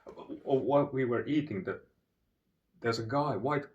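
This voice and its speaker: A Finnish man with a Finnish accent, telling a long digressive story in a halting way with many fillers and restarts. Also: mid-range voice, recorded from a distance, lo-fi sound. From Finland. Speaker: channel host. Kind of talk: rambling storytelling monologue. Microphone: phone.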